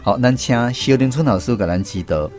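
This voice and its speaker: A man with a Taiwanese accent hosting a radio language lesson in a measured, explanatory way. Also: mid-range voice, expressive, darkish tone, soft background music. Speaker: radio show host.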